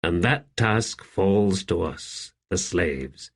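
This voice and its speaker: A man voicing a shrewd slave in an audiobook, calm, deferential and measured, speaking quietly. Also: low-pitched, vocal fry, husky, heavily acted. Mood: quietly persuasive. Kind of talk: audiobook character acting.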